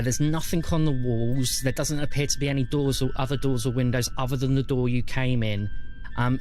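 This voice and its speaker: Slow-paced man running a tabletop game. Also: medium pitch. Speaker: game master.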